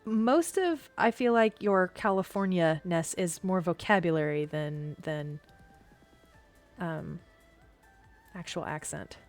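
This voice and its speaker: Chatty low-voiced woman streamer. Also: slow pace, pauses often, faint music behind. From Missouri, USA. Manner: rambling explanations with many restarts and fillers. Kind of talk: casual chat while gaming.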